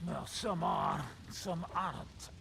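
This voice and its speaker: Very gravelly, sardonic medieval nobleman. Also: on the high side, slow, fairly bright tone, a little hiss or hum, heavily acted. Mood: grim, wry. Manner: blunt, sardonic. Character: gruff, wry, foul-mouthed medieval nobleman. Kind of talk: dramatic video game dialogue.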